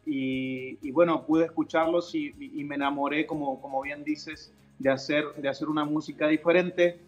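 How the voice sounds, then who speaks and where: Higher-voiced man over music. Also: steady tone, moderate pace. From Chile. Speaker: interview guest, pastor and worship musician. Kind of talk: casual interview answers. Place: indoor room at home, on a video call. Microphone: video call device microphone.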